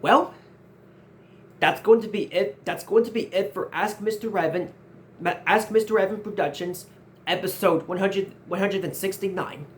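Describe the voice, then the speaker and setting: A man's voice: sits high, moderate pace. A nineteen-year-old man hosting his own channel, earnestly answering viewer questions and often repeating and restarting his phrases. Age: 19, nearly 20. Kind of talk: casual Q&A vlog.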